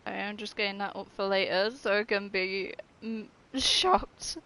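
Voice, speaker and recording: A woman's voice: mid-range voice, fairly even intonation, a little slow, sharp tone. A woman game streamer giving casual, joking live commentary with some swearing.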